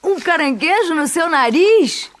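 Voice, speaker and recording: A woman's voice: extremely high pitch, animated, moderate pace. A woman playing a polite, baffled hotel clerk with a Brazilian Portuguese accent in a comic radio play.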